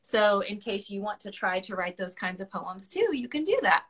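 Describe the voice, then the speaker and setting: A woman reading and chatting to children in an expressive storybook style, with slightly dull sound. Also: medium pitch, moderate pace. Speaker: children's book reader, writing teacher. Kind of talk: animated storybook read-aloud and friendly chat.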